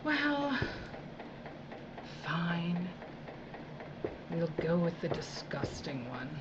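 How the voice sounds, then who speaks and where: A woman's voice: lowish voice, very animated, very slow, lo-fi sound, very noisy, full character voice. A haughty, contemptuous female official. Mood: cold contempt. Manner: imperious, clipped, disdainful. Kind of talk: audio drama acting.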